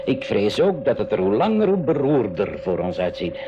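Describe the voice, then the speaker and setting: An actor playing a worried but commanding uncle in a school radio play, emphatic and exclamatory. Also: male voice, fairly low pitch, big pitch swings, moderate pace, lo-fi sound, background noise. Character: emphatic, worried inventor uncle leading children.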